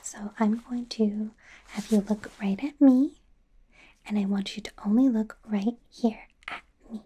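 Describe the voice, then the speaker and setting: A woman playing a friendly, flustered young werewolf doctor in training in an ASMR roleplay, speaking in a soothing, hesitant, breathy whisper. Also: mid-range voice, steady tone, unhurried, warm tone. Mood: gently instructing. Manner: soothing, hesitant, rambling, self-correcting.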